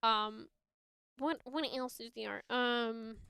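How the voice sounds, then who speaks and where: A woman's voice: fairly high pitch, fairly even intonation, slow, creaky, sharp tone, smooth, no room sound, clean studio sound. A woman from Sweden, a native Swedish speaker, chatting casually and ramblingly as she hosts a livestream tutorial, often self-deprecating. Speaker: livestream host teaching game mods.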